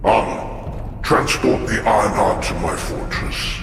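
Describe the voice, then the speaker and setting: A man's voice: fairly low pitch, slow, background noise. A man playing an imperious, zealous alien warlord in a video game cutscene, declaiming grandly and commandingly. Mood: cold command. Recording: cavernous long reverb, music underneath.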